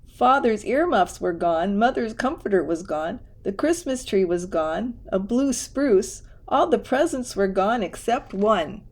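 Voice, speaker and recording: A woman reads a children's story aloud. Her voice sits at a middle pitch. She tells it expressively and slips in casual asides of her own. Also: lively intonation, measured pace, few pauses, a little mellow. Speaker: storybook reader.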